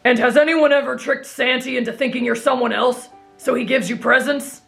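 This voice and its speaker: A woman's voice: high voice, steady tone, fairly quick, gravelly, fairly bright tone, faint music behind. A woman playing a brash, stubborn teenage boy raised in the wild, blunt and childlike, in an anime fan drama. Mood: blunt, curious.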